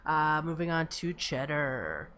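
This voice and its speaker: Female host, slightly low voice, casual moderating, faint noise behind. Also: sing-song, slow pace, a bit bright. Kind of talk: casual online discussion.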